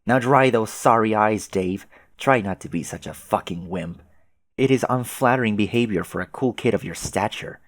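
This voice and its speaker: A man voicing a pushy, excitable female alien troll who taunts in wordy, emphatic phrases for a comic dub. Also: lowish voice, normal speed, no echo, lightly acted. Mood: taunting. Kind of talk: fan comic dub dialogue.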